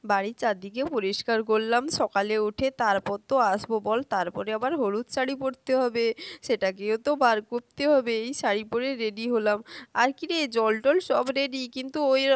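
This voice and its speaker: A woman's voice: a little high, moderate pace, clean tone, dry recording, close to an everyday voice. A woman voicing a nagging, insistent auntie in a children's story. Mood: brisk. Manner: insistent, scolding. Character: nagging, loud neighbourhood auntie waking sleeping children.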